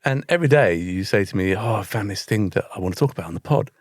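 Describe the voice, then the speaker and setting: A man's voice: lowish voice, expressive, fast. A British man talks casually as a podcast co-host. He questions and teases as he goes, in an everyday conversational way. The sound is dry, with no room echo. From Britain. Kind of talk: casual chat.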